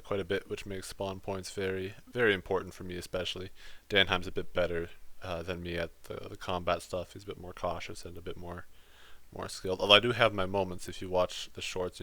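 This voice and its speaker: A man hosting a gaming channel, talking through his let's play footage in a casual, rambling monotone. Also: sits low, no room sound. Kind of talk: let's play commentary voice-over.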